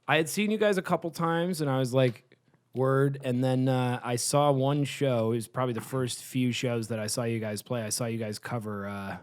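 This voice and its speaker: A man hosting a podcast in casual interview chat, animated and chatty, swearing freely. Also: medium pitch, moderate pace, clear, resonant tone. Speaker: podcast host.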